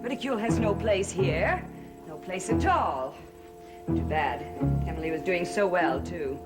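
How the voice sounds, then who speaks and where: A slow, solemn coven leader. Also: female voice, on the low side, expressive. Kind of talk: 1960s soap opera acting. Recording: old TV soundtrack with music.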